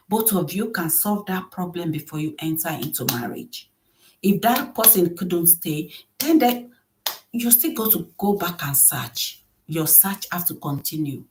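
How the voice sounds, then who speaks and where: A soft-voiced middle-aged woman hosting a podcast, giving earnest solo advice on marriage and often repeating key phrases for emphasis. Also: lowish voice. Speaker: podcast host.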